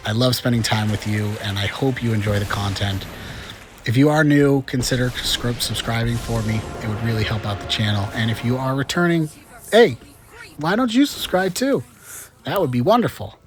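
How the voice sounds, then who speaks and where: A man's voice: medium pitch, moderate pace, over music. Chatty man with a slight cold. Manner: chatty and upbeat, with frequent fillers. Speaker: gaming channel host. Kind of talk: casual gameplay commentary.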